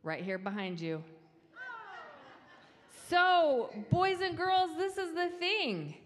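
A woman's voice: very high voice, takes it slowly, slight background noise. A middle-aged woman leading a playful children's talk with a handheld mic on a church stage. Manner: animated, playful, talks to kids. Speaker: children's story leader. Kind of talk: lively children's talk with a game. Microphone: handheld microphone. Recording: reverberant church hall.

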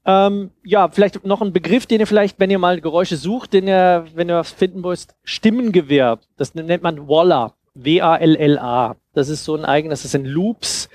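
A man in his thirties giving an informal, explanatory workshop talk into a headset mic, often halting and repeating words. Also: fairly high pitch, darkish tone, dry recording. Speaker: speaker giving a talk on sound design.

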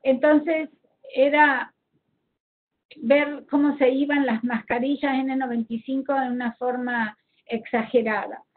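A woman's voice: high voice, steady tone, measured pace. A woman from Argentina with an Argentine Spanish accent explains slides as a health professional in a seminar, hesitantly searching for words, over an online call connection. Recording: tinny phone-like connection.

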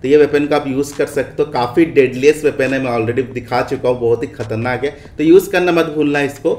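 A man's voice: medium pitch, fairly quick, darkish tone. A man with an Indian accent casually walking viewers through a game update in a conversational, tag-heavy way, as host of a gaming video. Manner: conversational, frequent checking tag phrases. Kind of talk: casual screen-capture commentary on game updates. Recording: roomy echo on voice.

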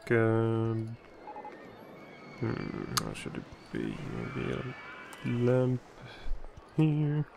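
A man's voice: mid-range voice, wildly swooping pitch, drawn-out words, gravelly, long pauses, background noise. A man thinking aloud in casual gameplay commentary, rambling and playful, recorded at home. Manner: rambling, playful, breaks into nonsense sounds. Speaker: let's play creator.

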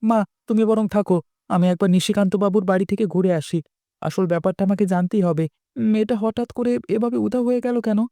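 A young village son from a cartoon tale, played by a woman, speaks fast with a soft, mellow tone. He comes across as earnest and protesting, recorded dry and close. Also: mid-range voice, steady tone, dry recording, lightly acted. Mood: concerned, determined. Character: village blacksmith's young son, reluctant but loyal. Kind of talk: cartoon dialogue acting.

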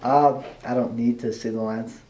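A man's voice: medium pitch, fairly even intonation, normal speed, darkish tone, lightly acted. A man playing a reluctant, easily offended amateur actor, talking in a hesitant, defensive, naturalistic way. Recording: echoey room, faint background noise.

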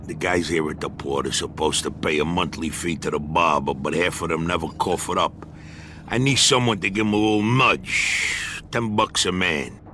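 An actor playing a smug, foul-mouthed dockside union boss in a crime video game, with an American accent and a streetwise, chummy, patronizing manner. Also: male voice, sits low, normal speed, pushed and bright, slight background noise. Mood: persuasive, businesslike. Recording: music behind the voice. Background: background music.

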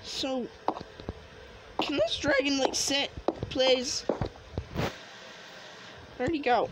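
A woman's voice: high voice, big pitch swings, very slow. A woman improvising casual roleplay commentary while gaming, hesitant and muttering to herself, on a noisy home recording. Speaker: player in a game roleplay video.

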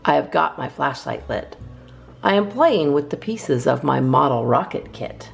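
A woman's voice: sits low, expressive, a little slow, vocal fry, soft background music. A woman hosting a storytime, reading children's poems aloud expressively with theatrical emphasis. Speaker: storytime host reading a picture book.

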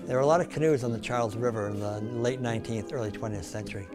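A man's voice: mid-range voice, fast talker. An older man who builds canoes talks earnestly in his shop, adding some dry humor. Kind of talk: casual interview. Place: woodworking shop, indoors. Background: background music.

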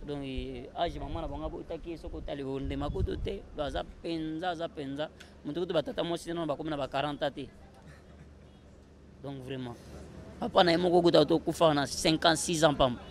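A man's voice: a little high, steady tone, fairly quick. A man in his thirties with a Congolese accent, talking casually as an interview guest. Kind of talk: casual interview talk, switching languages. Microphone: handheld interview microphone. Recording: noisy outdoor handheld-mic recording.

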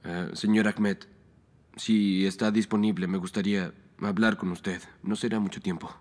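A young man dubbing an earnest, wary young character in a TV drama, speaking politely and quietly. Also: fairly low pitch, little pitch movement, normal speed, lightly acted. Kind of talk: Spanish dub of a TV drama.

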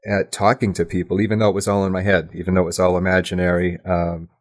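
A man speaking as an interview guest in a casual, reflective way, modest, candid and a little halting. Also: deep voice, little pitch movement. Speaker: podcast guest, audio drama writer.

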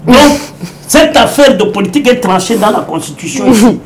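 A man's voice: extremely high pitch, expressive, moderate pace. A middle-aged man with a Congolese French accent, a lawyer giving an emphatic political interview in an office, repeating his points and mixing French with Lingala. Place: indoor office with bookshelves.

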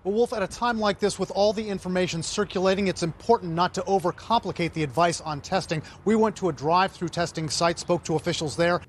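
Male news correspondent, high voice, report delivery, slight background noise. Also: fast, hardly any pauses.

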